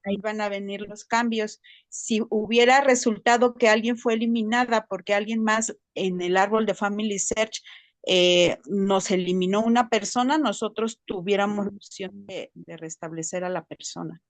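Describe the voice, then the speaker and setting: A woman takes part in an online class and explains things helpfully. Her voice is at a middle pitch, heard over a dry video call. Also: steady tone, normal speed, no echo. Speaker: class participant.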